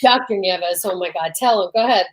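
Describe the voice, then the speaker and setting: A woman co-hosting a live comedy stream in casual banter, playful and excitable, repeating phrases for emphasis. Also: medium pitch, a little fast.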